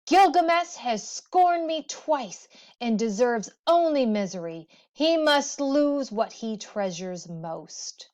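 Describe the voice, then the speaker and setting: A woman's voice: a little high, expressive, takes it slowly, a little mellow, voice pushed up. A woman reads as a scorned goddess from an old storybook legend. The delivery is emphatic and vengeful, drawn out for drama. The recording is slightly muffled. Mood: vengeful anger. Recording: dull, muffled lo-fi audio.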